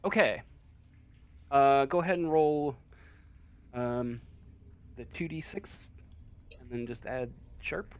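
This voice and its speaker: Male game master, unhurried and hesitant, mid-pitched, improvising tabletop narration. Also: a little hiss or hum. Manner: hesitant, halting.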